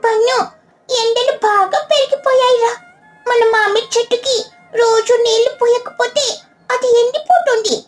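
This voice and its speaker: A woman's voice: very high-pitched, fairly even intonation, normal speed, faint music behind. A woman voicing a tiny, caring child bird in a children's cartoon, earnest and determined. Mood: worried, determined.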